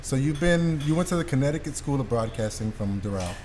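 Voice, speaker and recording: Male podcast host, irreverent and casual, mid-pitched, some background noise. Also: expressive, brisk, a little mellow. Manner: irreverent, profane banter. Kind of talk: casual interview chat.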